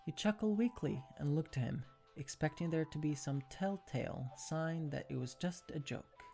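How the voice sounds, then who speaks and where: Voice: mid-range voice, moderate pace, creaky, mellow, soft background music. A narrator reading second-person fan fiction in an ASMR storytelling style.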